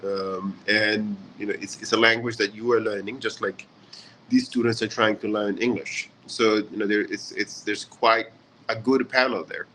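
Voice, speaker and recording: Chatty man with a bright voice. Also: fairly low pitch, normal speed, a little hiss or hum, low-quality recording. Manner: relaxed, chatty, many fillers. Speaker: session host. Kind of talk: casual online lesson. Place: hotel in a city abroad.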